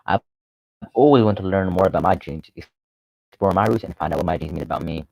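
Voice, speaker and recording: A man's voice: low-pitched, a little fast, vocal fry, a little mellow, rough recording. A man reading scripted announcements as a radio show's announcer.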